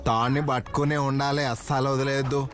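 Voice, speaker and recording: A man's voice: mid-range voice, little pitch movement, fast talker, faint music behind, natural voice. A man voicing a bossy but protective cartoon elder brother, commanding and chiding. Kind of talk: Telugu cartoon dub.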